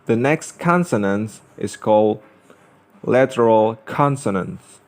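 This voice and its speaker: Slow-speaking young man. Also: on the low side, expressive. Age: young adult. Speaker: student presenter. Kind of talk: scripted lesson narration.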